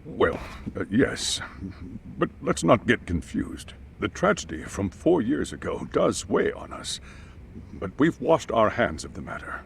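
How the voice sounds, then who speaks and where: A man's voice: fairly low pitch, big pitch swings, measured pace, vocal fry, a little hiss or hum, exaggerated voice. An actor playing a formal, guarded school chairman in a video game dub, hesitant and evasive. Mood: defensive. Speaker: head of a school.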